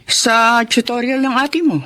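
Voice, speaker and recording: A woman playing a stern, excitable, worried middle-aged mother in a radio drama. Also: fairly high pitch, a little slow, slight background noise. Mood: matter-of-fact. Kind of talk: Tagalog radio drama acting.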